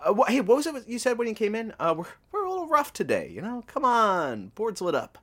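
A woman's voice: on the high side, huge pitch swings, fairly quick. A woman playing a wry, casual radio producer who eggs on the host in an audio drama. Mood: backpedaling, coaxing. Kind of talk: semi-improvised audio drama acting.

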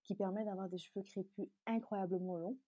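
A woman from Chad, a native Tazaga speaker, talks as an interview guest. Her voice is mellow and a little husky, recorded close and dry. She explains things in a conversational way. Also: medium pitch, fairly even intonation, brisk, no room sound. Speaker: interview guest, beauty brand founder.

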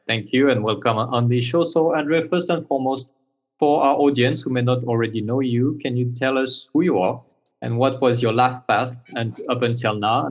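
A man hosting a podcast interview, speaking in a formal, slightly halting way as he introduces and questions his guest. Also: mid-range voice, creaky, lo-fi sound. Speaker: podcast host.

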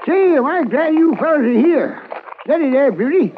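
An actor playing a nervous backwoods settler and trapper who stammers and repeats himself. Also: male voice, very high-pitched, sing-song, normal speed, slight background noise. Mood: jittery. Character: jittery backwoods settler man. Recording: tinny 1950s radio transcription.

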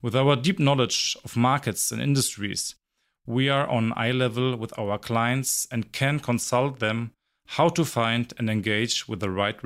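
Director, man, 40s; confident, brisk sales-style delivery. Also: medium pitch, fairly bright tone. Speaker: recruitment company director. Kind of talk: scripted self-introduction.

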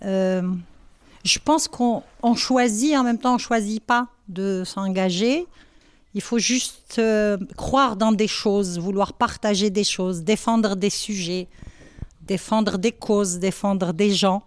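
A woman in her sixties from Algeria, answering schoolchildren's questions in a classroom, thoughtful and halting with many pauses. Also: medium pitch, clear, resonant tone, no room sound. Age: 60s. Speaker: women's rights activist answering pupils' questions.

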